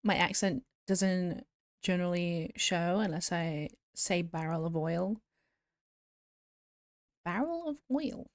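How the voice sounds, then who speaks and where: A woman's voice: fairly low pitch, slow, long pauses, no echo, studio quality. A woman streamer chatting casually and giggling as she answers viewers while she draws. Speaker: VTuber streamer.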